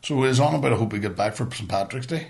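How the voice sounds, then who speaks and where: Male podcast co-host, Northern Irish, medium pitch, blunt and animated casual storyteller who rambles and swears freely. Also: fast, gravelly.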